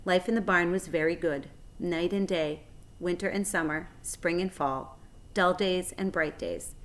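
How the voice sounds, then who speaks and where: A woman narrating a children's story aloud in a measured, expressive way. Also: on the low side.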